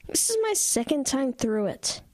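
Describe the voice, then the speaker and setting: A woman's voice: high-pitched, big pitch swings, normal speed, a little mellow, dry recording. A woman voicing a defensive, stammering teenage boy who loves horror books. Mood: sullen. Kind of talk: horror audio drama.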